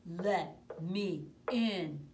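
A woman voicing a hungry big bad male wolf in a children's storytelling, with loud, drawn-out threats. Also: fairly low pitch. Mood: demanding. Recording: distant mic with room noise.

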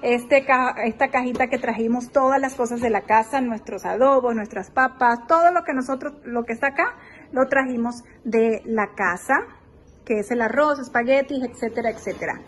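A woman's voice: on the high side, normal speed, a little hiss or hum. A woman vlogger giving a chatty, enthusiastic house tour of a beach rental, filming with her phone as she walks. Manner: chatty, enthusiastic, addresses viewers as girls. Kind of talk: casual house-tour vlog. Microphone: phone held while walking.

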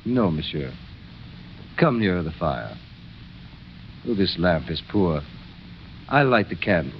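A man playing a kindly, serene elderly priest in a 1930s radio drama, speaking in a calm, measured, courteous way. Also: low voice, exaggerated voice. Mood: calm, gracious. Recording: dull, noisy 1930s radio recording.

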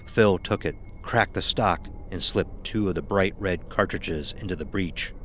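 A man's voice: sits low, measured pace, a bit bright, a little hiss or hum. A man narrating a scripted horror audio drama as its storyteller.

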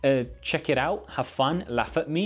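A man's voice: a little high, moderate pace. A man in his thirties giving an informal, self-deprecating DIY tutorial in a casual narrating style. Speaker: maker presenting a DIY build.

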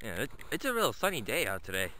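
A man's voice: mid-range voice, wildly swooping pitch, fast, bright, forceful tone, slight background noise, low-quality recording. A man talking to his dogs in a sing-song, coaxing way while filming a walk through snowy woods on a handheld camera. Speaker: vlogger walking his dogs.

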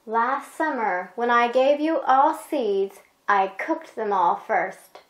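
Woman playing a solemn, slow-talking master gardener. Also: a little high, animated, reverberant, a little hiss or hum, rough recording. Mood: proud, announcing. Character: kindly but stern master gardener, a grown man.

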